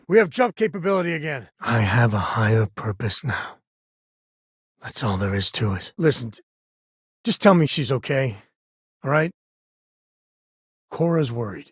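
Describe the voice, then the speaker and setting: An actor playing a rugged space-ranger father in a video game, with a husky, raspy voice and a wry, earnest, conversational manner. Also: medium pitch, dry recording, studio quality. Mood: energized, alert, then somber, earnest. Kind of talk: video game character voice lines.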